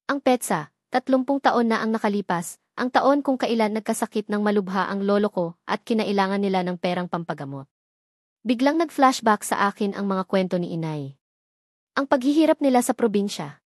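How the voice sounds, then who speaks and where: A woman's voice: medium pitch, animated, moderate pace, no room sound, studio quality. A woman reading a dramatic first-person story as a scripted narration.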